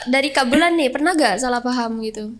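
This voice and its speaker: High-voiced young woman hosting a podcast. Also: fairly quick. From Jember, East Java. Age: young adult. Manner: curious, laughs often. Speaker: host. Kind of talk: casual interview.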